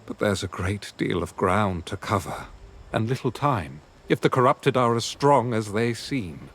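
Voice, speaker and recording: This is a guarded male adventurer in a fantasy game. His fairly low voice moves expressively through formal, measured cautions, with faint noise behind it. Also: animated, fairly bright tone. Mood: measured, cautioning. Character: guarded, sceptical adventurer advising a traveller. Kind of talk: video game dialogue acting.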